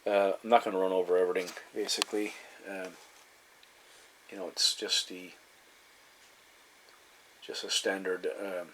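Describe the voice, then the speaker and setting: A man talks off the cuff, like a collector showing an item to fellow hobbyists. His delivery is halting and wanders back over the same points. Faint background noise sits under the voice. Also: low-pitched, level tone, a bit bright, rough recording. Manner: halting, meandering, repeats himself. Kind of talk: casual unscripted show-and-tell narration. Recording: clear background noise, room ambience.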